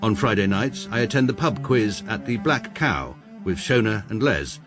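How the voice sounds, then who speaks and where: An actor playing a precise, pompous middle-aged barrister who narrates in a formal, deadpan way. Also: male voice, on the low side, normal speed, over music. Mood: dry. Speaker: narrator and lead character. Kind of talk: radio drama narration.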